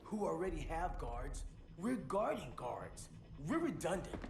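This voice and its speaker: An actor playing a brash, impatient young superhero in a video game, with slangy bravado. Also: male voice, fairly high pitch, big pitch swings, unhurried, vocal fry, background noise, exaggerated voice. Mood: whiny, impatient. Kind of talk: video game cutscene acting. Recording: roomy echo with faint music.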